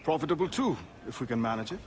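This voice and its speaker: Measured pirate quartermaster, very noisy backdrop. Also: male voice, a little high, very animated. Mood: wry, approving. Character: steady, thoughtful male pirate quartermaster, former plantation worker. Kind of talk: video game voice acting. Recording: loud ship and outdoor ambience.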